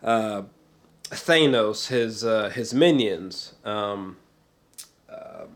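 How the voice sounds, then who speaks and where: A man's voice: lowish voice, expressive, drawn-out words. A man giving a casual, rambling film review, searching for words and repeating himself, with emphatic, slangy swearing. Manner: emphatic, fannish.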